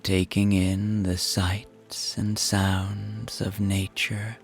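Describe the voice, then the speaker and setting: A man guides a relaxation exercise in a low, hushed voice. His delivery is extremely slow and very even, gentle and soothing throughout. Soft music and rain sit behind the narration. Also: vocal fry. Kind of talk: scripted guided meditation narration.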